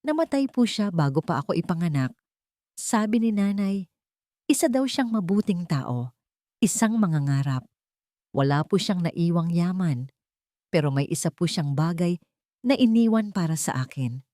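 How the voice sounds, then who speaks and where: A woman's voice: lowish voice, expressive, moderate pace, pauses often, warm tone, no room sound, clean studio sound, close to an everyday voice. Woman voicing an earnest, polite young male driver. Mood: wistful. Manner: polite, deferential. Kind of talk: audio storybook dialogue.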